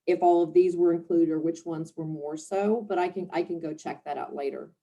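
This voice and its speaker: A woman's voice: fairly low pitch, steady tone, a little fast, dark tone, highs cut off. A woman presenter answering a question, unsure and correcting herself as she goes. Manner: uncertain, self-correcting.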